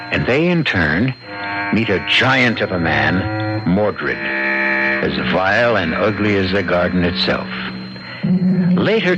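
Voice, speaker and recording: A man narrating as the grave, measured host of an old-time radio mystery, with ominous theatrical delivery. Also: on the low side. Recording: muffled old radio recording, loud music.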